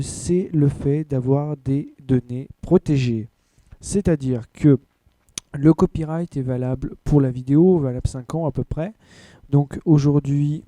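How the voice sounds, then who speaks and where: Very mellow-voiced man hosting a podcast. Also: medium pitch, no echo. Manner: blunt, frank, loose with filler words. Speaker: podcast host. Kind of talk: casual news rundown and rant.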